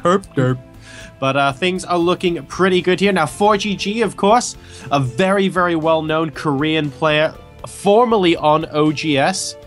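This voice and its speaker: A man's voice: a little high, a bit bright, faint music behind. A man casting a video game match, explaining builds in detail and trading light jokes with his co-caster. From Hong Kong. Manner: analytical, joking, quick banter. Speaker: esports caster. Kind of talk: esports play-by-play commentary.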